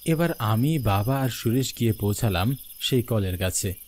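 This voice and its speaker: A man's voice: fairly low pitch, lively intonation, moderate pace. A man narrating a scripted horror story in the first person. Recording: background noise with night crickets.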